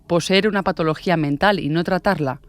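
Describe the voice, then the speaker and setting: A woman's voice: fairly low pitch, fairly quick, no echo. A woman from hosting a podcast, reading scripted true-crime narration. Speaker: podcast host and author.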